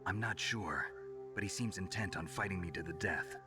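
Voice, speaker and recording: A man's voice: low voice, normal speed, recorded from a distance, lightly acted. A man voicing a stoic, world-weary veteran soldier in a video game cutscene, terse and grave. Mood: grave, probing. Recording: game cutscene audio under clear music.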